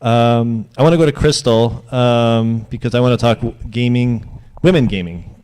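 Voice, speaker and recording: A man moderating a convention panel, asking the panelists questions in a halting way as he searches for words. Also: medium pitch, a little mellow, smooth.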